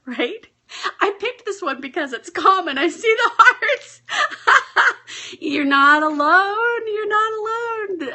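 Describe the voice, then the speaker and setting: A woman life coach talking casually on a home live stream, a bit hoarse and often checking in with her viewers. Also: sits high, pushed and bright. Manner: chatty, often asks "right?". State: hoarse, clearing her throat. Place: at home with pets.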